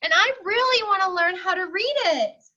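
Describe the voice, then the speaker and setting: A woman's voice: extremely high pitch, expressive, moderate pace, bright, forceful tone, muffled recording, voice pushed up, exaggerated voice. A woman voicing an eager, earnest young pig schoolboy in a children's storybook, pleading in an emphatic singsong. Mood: pleading, hopeful. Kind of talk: children's storybook read-aloud.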